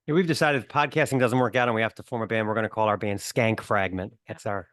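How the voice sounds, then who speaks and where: A man's voice: lowish voice, fairly even intonation, fast talker, a bit bright, no echo, clean studio sound. A man co-hosting a podcast, asking questions and cracking sarcastic jokes. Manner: jokey, sarcastic asides. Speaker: podcast co-host. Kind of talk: casual interview chat.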